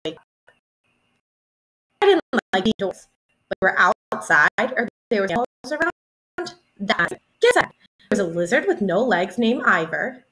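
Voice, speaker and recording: A young woman reading a children's picture book aloud in an expressive, deliberate teacherly storytelling manner. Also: mid-range voice, a little hiss or hum, low-quality recording. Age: young adult. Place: small room at home, indoors.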